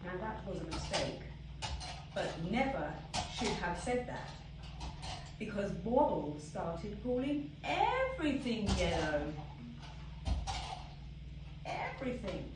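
A woman's voice: mid-range voice, slow, long pauses, background noise. A middle-aged woman reading a children's story aloud expressively, in an echoey room far from the mic. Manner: expressive, asks the listeners questions. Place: small tiled living room indoors. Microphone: phone camera a few metres away.

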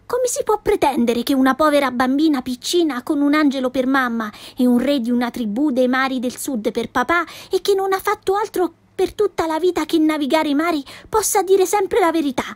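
A cheerful little girl full of tall tales, put on by a woman reading a storybook. Her voice rides high and bouncy, with plenty of exclaiming. The recording is dry and close. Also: expressive, a little fast, hardly any pauses, dry recording. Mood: boastful.

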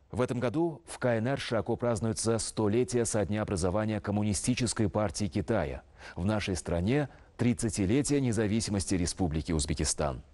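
A man's voice: medium pitch, mellow. Man reading formal television news. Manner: measured, official delivery. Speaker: news anchor.

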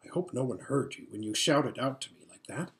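Woman voicing a fierce, urgent young runaway girl, very animated. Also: very deep voice, a little fast. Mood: serious, anxious. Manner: hissing, insistent, commanding.

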